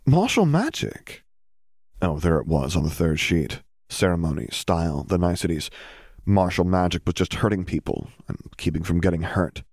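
Male audiobook narrator, low register, scripted fiction reading, very dry close sound. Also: fairly even intonation, moderate pace, a little mellow, no echo.

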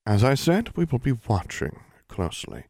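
A man voicing a cold, quietly menacing male official. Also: mid-range voice, wildly swooping pitch, moderate pace, no echo, studio quality, pitched above the performer's own voice.

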